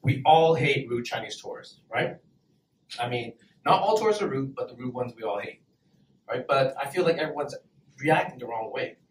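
A man's voice: medium pitch, animated, normal speed, a little hiss or hum. A young man doing a brash, profane stand-up set at an open mic, laughing between punchlines. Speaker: stand-up comedian. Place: small comedy club stage, in front of an audience. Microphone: handheld mic, filmed from far back in the room. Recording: roomy club sound from far off. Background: audience laughter.

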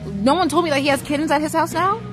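A woman's voice: sits high, lively intonation, fast talker, over music. A woman in her twenties bantering casually on a livestream with crude, slangy jokes. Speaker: streamer. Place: large indoor gym.

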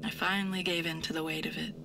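A woman's voice: fairly low pitch, level tone, fast talker, noisy background, natural voice. A woman playing an exhausted, grieving scientist in her late forties, confiding a journal entry in an audio drama. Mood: weary grief. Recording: music behind the voice.

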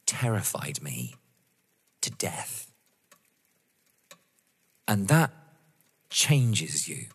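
An actor playing a wounded, vengeful and menacing man in an audio drama, speaking with halting dramatic pauses. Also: medium pitch, husky, exaggerated voice. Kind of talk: full-cast audio drama acting of a stage play. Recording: long hall reverb.

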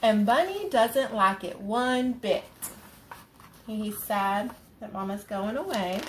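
A woman in her thirties reading a picture book aloud as a mother, pausing to explain it simply to a young child. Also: medium pitch, lively intonation, fairly bright tone, a little hiss or hum. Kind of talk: storybook read-aloud. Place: small bedroom, indoors.

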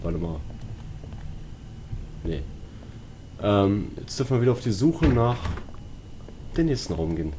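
A man's voice: lowish voice, very animated, a little slow, pauses often, noisy background. A man with a German accent giving casual, jumpy commentary on a horror game, often talking to himself and exclaiming. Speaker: let's player. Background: game music and sounds.

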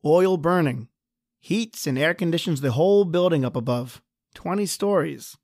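A gruff, bossy engineer. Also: male voice, on the high side, moderate pace, darkish tone, no room sound, studio quality. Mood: impatient. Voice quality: curt, bossy. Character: gruff impatient male building engineer, bossy. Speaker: host and actor. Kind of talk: old-time radio drama acting.

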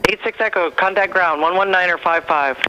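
A high-pitched man gives brisk, clipped tower instructions over a radio link. The sound is dull and muffled, with clear static hiss under every call. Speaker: air traffic controller. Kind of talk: radio phraseology. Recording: dull, noisy radio transmission.

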